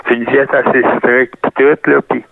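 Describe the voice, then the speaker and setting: An older man with a Québécois French accent, a guest reminiscing in an interview, rambling and halting with many restarts, heard over a phone line. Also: medium pitch, level tone, vocal fry, no echo. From Canada. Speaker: guest, retired wrestler. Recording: tinny phone-line sound, dry.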